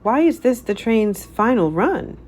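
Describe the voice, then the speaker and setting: Brainy young cartoon detective girl voiced by a woman, keen and earnest, lively intonation, children's story. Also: fairly high pitch, unhurried, voice pushed up. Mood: puzzled.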